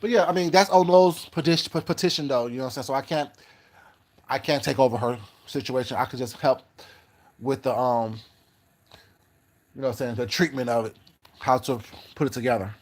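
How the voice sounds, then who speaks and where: A man's voice: mid-range voice, a little fast, long pauses. A man hosting a casual livestream, rambling through his thoughts, repeating words and greeting viewers by name. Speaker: YouTube livestream host. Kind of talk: casual livestream chat reading comments.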